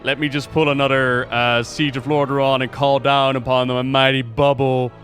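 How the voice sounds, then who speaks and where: A man giving casual reaction commentary on game cinematics as a streamer, excitable and slangy in his exclamations. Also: mid-range voice, normal speed, soft background music. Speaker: streamer hosting a reaction video.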